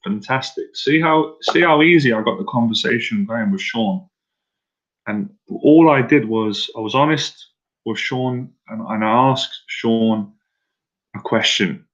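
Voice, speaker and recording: A man's voice: mid-range voice, a bit bright, muffled recording. A man coaching viewers on a live stream about talking to women, speaking casually and directly, repeating himself and asking viewers to answer in the chat. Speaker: dating confidence coach.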